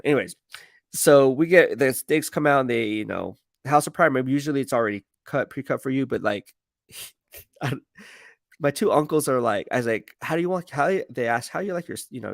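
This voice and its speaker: Gravelly, slangy man streaming sports talk. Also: medium pitch, no echo, clean studio sound. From San Francisco Bay Area, United States. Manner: rambling, slangy, emphatic, repeats himself. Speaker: sports streamer and football commentator. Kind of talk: casual live stream chat, answering viewer comments.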